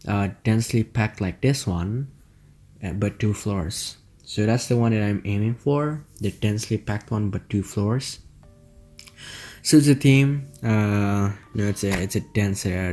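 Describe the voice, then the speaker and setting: Low-voiced man. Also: a little mellow. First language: Indonesian. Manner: rambling, many fillers and self-corrections. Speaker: gaming channel host. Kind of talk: casual gameplay commentary.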